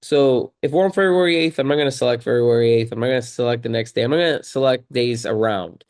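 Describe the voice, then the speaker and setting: A man with a slightly hoarse voice from a cold, talking casually to viewers in a tutorial, conversational and repeating key points for emphasis. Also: medium pitch, fast, darkish tone. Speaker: video creator and car rental host.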